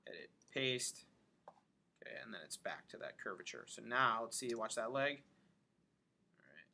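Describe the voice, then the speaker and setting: A man giving an informal software tutorial, thinking aloud as he demonstrates each step. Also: medium pitch, expressive, normal speed, gravelly. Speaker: animation instructor. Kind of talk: casual screen-recorded tutorial narration.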